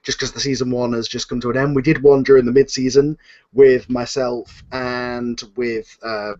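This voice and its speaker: A man's voice: mid-range voice, level tone, normal speed, darkish tone. Muffled man hosting a casual livestream. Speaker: host of a live online Q and A. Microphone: video call. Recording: muffled lo-fi internet video call.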